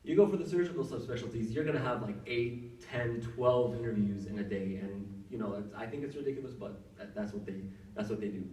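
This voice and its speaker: A man's voice: on the low side, a little mellow, a little hiss or hum. A young man from Saudi Arabia, a doctor giving casual advice to students, talking conversationally with many fillers and restarts. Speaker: workshop speaker, doctor. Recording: echoey room, mic at a distance.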